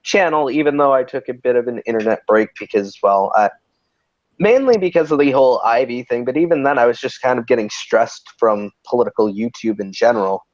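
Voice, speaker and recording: A man's voice: medium pitch, fairly quick, no echo, dull audio. A man hosting a casual livestream chat, rambling with many restarts and fillers, in an androgynous voice. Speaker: host.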